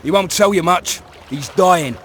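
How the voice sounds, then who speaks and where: Grumpy defensive prison guard, video game, highish voice, faint waterside noise. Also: male voice, a little slow, a bit bright. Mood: surly, defensive. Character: surly, bribable male barge prison guard. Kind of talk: video game dramatic dialogue.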